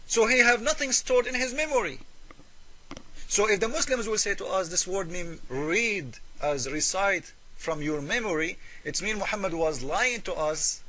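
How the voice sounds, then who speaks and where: Man, high-pitched voice, English, argumentative debater, emphatic, repeats himself, forceful delivery. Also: rough recording. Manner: emphatic, repetitive, with rhetorical questions; non-native English with irregular grammar. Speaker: religious debater and presenter. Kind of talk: argumentative polemical commentary.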